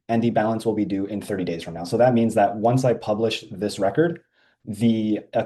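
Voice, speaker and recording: Low, mellow man giving a software demo. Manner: casual, many ums and uhs. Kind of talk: screen-share software walkthrough.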